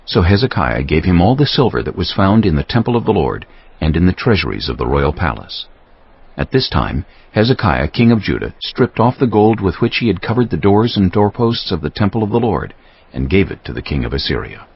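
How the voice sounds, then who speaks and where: A man's voice: deep voice, husky. A man narrating a dramatized scripture audiobook in a scripted, storytelling delivery. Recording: muffled audio with faint music.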